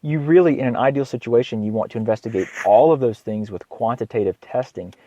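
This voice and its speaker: A slightly hoarse man with a cold hosts an informal health podcast as a doctor, speaking haltingly, repeating words and often clearing his throat. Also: medium pitch, dark tone, dry recording, muffled recording. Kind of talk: informal educational health monologue.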